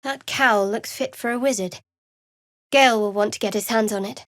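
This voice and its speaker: A woman performs a young female cleric sworn to a dark goddess in a fantasy video game. Her voice sits a little high, with a measured, cool and guarded delivery. She sounds secretive and dry in manner, captured in a very dry studio-like recording. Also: no echo, natural voice. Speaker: video game character actor.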